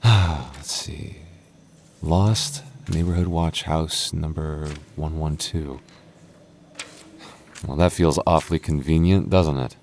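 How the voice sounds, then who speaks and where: Man acting a very deep-voiced nervous goth boyfriend. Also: vocal fry, a little mellow, a little hiss or hum, full character voice. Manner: stammering, halting, nervous, wry. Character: anxious, protective young goth boyfriend, nervously joking. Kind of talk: boyfriend ASMR audio roleplay.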